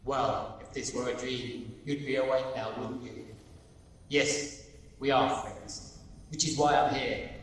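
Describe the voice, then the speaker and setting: A man playing the solemn ghost of a dead business partner in a radio play, speaking gravely and deliberately with portentous weight. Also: medium pitch, fairly even intonation, a bit bright, close to an everyday voice. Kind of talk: amateur radio play acting. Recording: very echoey, distant, faint noise.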